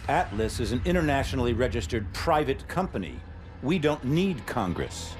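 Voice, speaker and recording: An actor playing an unyielding private-army boss in a video game, authoritative, clipped and emphatic. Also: male voice, medium pitch, sing-song, normal speed, faint music behind, natural voice. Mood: firm. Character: commanding, unyielding male private-army chief executive. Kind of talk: dramatic video game cutscene acting.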